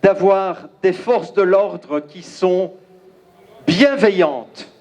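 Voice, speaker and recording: A man from Belgium with a Belgian French accent, giving an emphatic, declamatory speech at an outdoor rally and pausing often. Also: high-pitched, a little mellow. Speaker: rally speaker. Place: outdoor crowd gathering.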